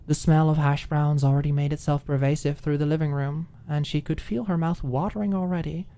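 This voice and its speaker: A woman reading a scripted fiction audiobook in an expressive, ASMR storytelling style. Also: deep voice, fairly quick. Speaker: audiobook narrator.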